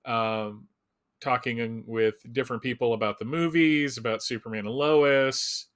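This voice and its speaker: A man's voice: medium pitch, steady tone, measured pace, clear, resonant tone. A man co-hosting a live fan show in a casual, joking way, with wry, self-deprecating humor.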